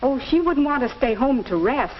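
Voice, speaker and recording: A woman's voice: sits high, lively intonation, moderate pace. A woman playing a concerned, polite household woman in a 1940s instructional film, speaking carefully and a little hesitantly. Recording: muffled, hissy 1940s film soundtrack.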